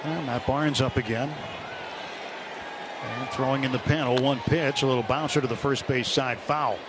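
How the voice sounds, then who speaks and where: A man's voice: mid-range voice, fairly even intonation, normal speed. A man doing live radio play-by-play commentary of a baseball game from a ballpark booth. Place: open broadcast booth at a ballpark. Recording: noisy live stadium radio broadcast. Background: loud outdoor ballpark ambience.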